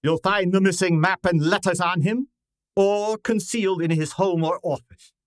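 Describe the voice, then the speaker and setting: A man's voice: high voice, fairly even intonation, normal speed, dry recording, clean studio sound, natural voice. A man playing a pompous, exacting private detective in an old-time radio drama, with formal, precise and theatrical diction. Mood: smug, explanatory.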